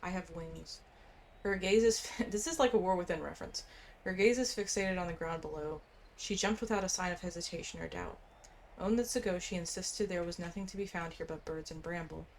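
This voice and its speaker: A woman's voice: fairly low pitch, fairly quick, a little hiss or hum. A woman playing through a game story, commenting casually with offhand asides and reading quest text aloud.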